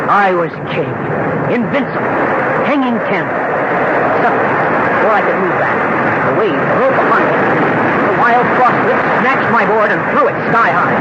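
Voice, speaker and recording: An actor playing an anguished, haunted husband with a dramatic, brooding delivery in an old-time radio drama. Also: male voice, fairly high pitch, lightly acted. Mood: recounting intensely. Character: anguished, haunted husband sharing his body with another soul. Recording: dull, noisy old radio recording.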